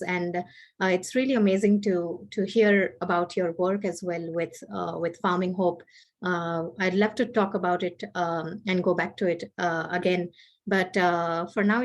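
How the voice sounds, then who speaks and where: A woman hosting a poetry evening who interviews her guest in a halting way, with many filler sounds. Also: mid-range voice. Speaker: host.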